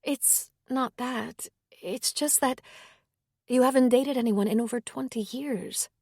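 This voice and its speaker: A woman's voice: a little high, slow pace, darkish tone, slightly rough, no echo, clean studio sound, pitched above the performer's own voice, exaggerated voice. A woman reading as a flustered, wary woman. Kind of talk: audiobook character reading.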